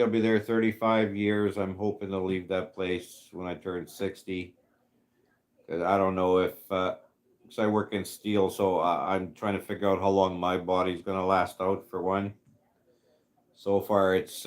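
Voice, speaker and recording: Slow, halting man in his fifties. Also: deep voice. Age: 50s. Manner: rambling, halting, many fillers. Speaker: livestream host reading chat. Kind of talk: casual livestream chat.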